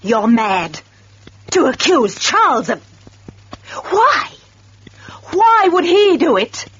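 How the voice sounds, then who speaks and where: A woman playing a suspicious, wounded former sweetheart, sharp and accusing, in a radio drama. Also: sits high, big pitch swings, takes it slowly, slight background noise, full character voice. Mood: shocked, disbelieving. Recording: dull lo-fi radio recording.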